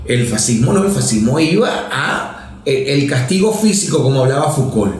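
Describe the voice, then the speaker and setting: A man's voice: medium pitch, sing-song, normal speed, darkish tone. A Venezuelan man in his fifties, a professor and politician, explaining political history in a methodical lecturing way, checking in with "no?" as he goes. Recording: very echoey room.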